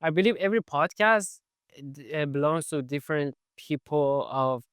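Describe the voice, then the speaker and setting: A young man with as his hosts a casual interview, his slightly high voice smooth and clear. He talks loosely with frequent "like" fillers. The recording is dry and close. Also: expressive, normal speed, a bit bright, dry recording. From Iran. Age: 20s. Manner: chatty, non-native phrasing, frequent "like" fillers. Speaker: podcast host.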